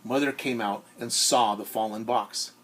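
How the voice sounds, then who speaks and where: Expressive male teacher reading a storybook. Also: fairly low pitch, measured pace. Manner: expressive, questioning, addresses listeners directly. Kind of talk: animated storybook read-aloud with asides to young listeners.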